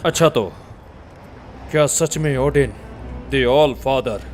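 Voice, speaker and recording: A man's voice: medium pitch, slow pace, darkish tone, soft background music, close to an everyday voice. A man voicing a sneering arrogant god guard. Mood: mocking. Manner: sneering, taunting. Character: arrogant menacing male god sentinel. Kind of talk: dubbed game cutscene.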